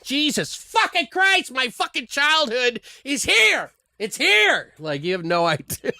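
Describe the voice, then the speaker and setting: A man co-hosting a podcast, talking casually and excitably like a fan and swearing freely. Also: very high voice, a little slow, gravelly, dry recording, clean studio sound. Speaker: podcast co-host. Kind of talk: casual movie chat.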